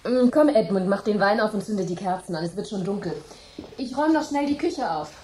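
An actress playing an uneasy, apologetic hostess in a radio play, speaking hesitantly. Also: female voice, mid-range voice, lively intonation, fairly quick, dark tone, roomy sound, a little hiss or hum, lightly acted, poor audio. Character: nervous hostess, easily startled and apologetic.

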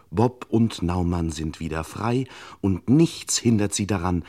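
An actor playing a pompous, tongue-in-cheek narrator of a radio crime serial, with ironic, florid and measured delivery. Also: male voice, sits low, a little mellow. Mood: mock suspense. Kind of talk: mock-suspense scripted narration of old crime radio serial.

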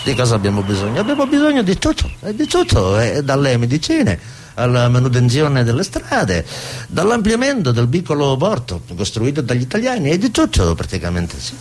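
An actor playing a sly, evasive official in a radio drama, condescending and teasing, with wry tag questions. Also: male voice, mid-range voice, huge pitch swings, fairly quick. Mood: weary, wry.

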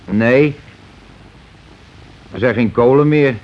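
An actor in a Dutch radio play playing a middle-aged, self-important working-class husband who complains and blusters sarcastically. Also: male voice, mid-range voice, slow, natural voice. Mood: needling. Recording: muffled, hissy old radio recording.

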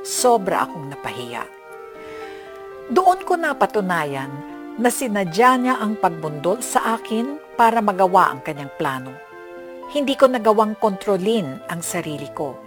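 Animated female radio-drama narrator. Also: medium pitch, unhurried, music in the background. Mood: aggrieved. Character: young woman recounting her story, aggrieved.

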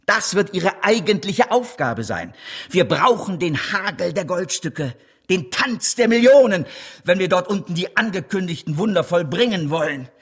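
An actor playing a driven, persuasive financier in a German radio drama, speaking in an emphatic, sweeping, rhetorical way. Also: male voice, sits high, sing-song, normal speed, slightly rough, exaggerated voice. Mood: fervent, persuasive. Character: driven, persuasive male financier, fervent gambler at heart.

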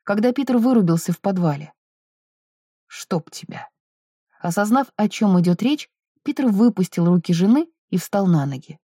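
A woman's voice: medium pitch, lively intonation, brisk, dark tone, husky, no echo, studio quality. A woman reading literary fiction aloud as a scripted audiobook narration.

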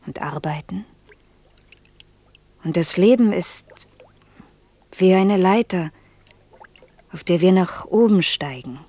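Hushed, husky, pensive woman. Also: fairly low pitch, no echo, heavily acted. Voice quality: breathy, husky. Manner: hushed, slow, lyrical storytelling that slips into near-whisper. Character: gentle, pensive woman telling a fairy tale, gravely earnest. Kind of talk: quiet, intimate German radio play acting.

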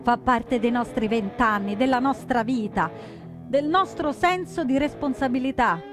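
An actress playing a proud young woman partisan in her twenties, telling her story in measured, earnest theatrical narration. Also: fairly high pitch, lively intonation. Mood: passionate. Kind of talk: scripted theatrical first-person narration. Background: plucked guitar music.

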